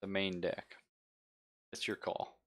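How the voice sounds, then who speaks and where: A man's voice: sits low, completely flat delivery, slow. A man running a tabletop roleplaying game as game master, narrating casually with a jokey, sweary manner.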